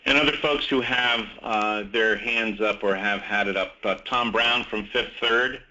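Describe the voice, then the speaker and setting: A man with a fairly low but very sharp, forceful voice moderates a conference call. He talks informally, and the audio is dull, like a conference line. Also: fairly even intonation, measured pace.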